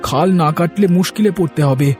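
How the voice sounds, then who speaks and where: A man as a high-voiced, hurried farmer. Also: darkish tone, faint music behind, close to an everyday voice. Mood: hurried, insistent. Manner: urgent, insistent. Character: hurried, hardworking village farmer husband. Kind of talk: Bengali cartoon moral story acting.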